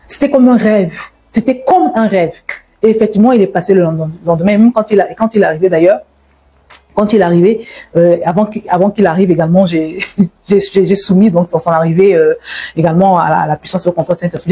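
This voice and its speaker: Mellow, slightly husky woman sharing faith testimony. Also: medium pitch, rough recording. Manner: emphatic, repeats words and phrases for stress. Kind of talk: informal religious testimony and exhortation.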